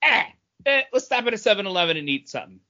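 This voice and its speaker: Animated, blunt man chatting on a podcast. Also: high voice, quick pace, dull audio. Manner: blunt, swearing, acts out mocking quotes. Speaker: co-host of a comic book podcast. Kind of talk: casual opinionated review chat.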